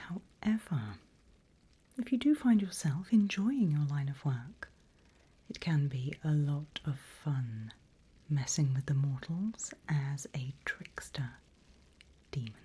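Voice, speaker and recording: Female demon mentor, acted by a woman for whispered ASMR roleplay: slow, hushed, very breathy, vain and slyly teasing. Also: low voice, heavily acted. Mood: coolly amused. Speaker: demon guide training a newcomer.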